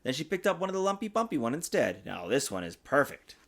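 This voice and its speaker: A man reading a children's storybook aloud in an expressive, sing-song way, as a father does for his young child. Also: mid-range voice, fast, gravelly. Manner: patiently answers child's interruptions. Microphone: desktop USB microphone.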